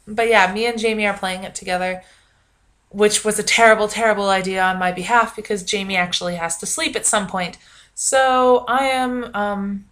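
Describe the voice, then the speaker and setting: Loud, rambling young woman vlogging. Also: mid-range voice. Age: young adult. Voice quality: naturally loud, projecting voice. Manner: rambling, self-mocking, lots of ums. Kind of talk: casual vlog chat.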